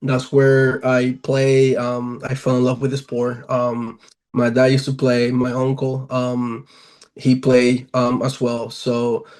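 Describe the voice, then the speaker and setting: A young man with a Latin American accent speaks casually, as in a sports interview. His pitch is medium and stays very even, with little rise and fall. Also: takes it slowly. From Venezuela. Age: 20s. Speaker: professional baseball player, interview guest.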